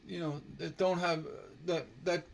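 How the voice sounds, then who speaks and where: A man teaching stained glass on a casual live stream, slightly hoarse from a sore throat, relaxed and rambling with many fillers. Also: on the high side, measured pace. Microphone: computer mic on a live stream.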